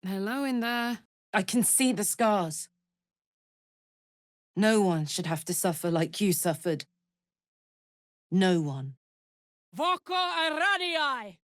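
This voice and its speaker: A woman's voice: mid-range voice, big pitch swings, slow pace, pauses often, no room sound, studio quality. An actress voicing a brash, big-hearted young female warrior in a video game, blunt and swaggering, tough yet playful and emotionally open. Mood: theatrical incanting. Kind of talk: video game character voice lines.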